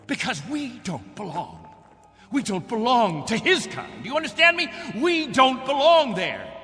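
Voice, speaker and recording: Desperate, domineering male colony leader; high, bright, preacherly delivery for reverberant radio drama with music. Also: huge pitch swings, a little slow, heavily acted. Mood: desperate, pleading. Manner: impassioned, preaching, emphatic. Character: desperate, controlling male captain of a stranded colony.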